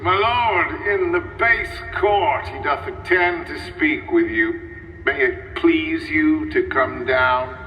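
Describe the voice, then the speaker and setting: A man's voice: fairly high pitch, slow pace. A man acting a formal, deferential nobleman bearing messages to a king in a Shakespearean radio play. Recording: dull, distant echoey hall, faint music.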